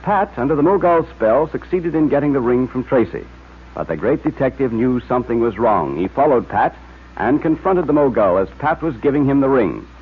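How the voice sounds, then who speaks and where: A man's voice: medium pitch, lively intonation, moderate pace, slightly rough. A man announcing and narrating in scripted 1940s radio style. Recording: muffled, tinny old radio recording.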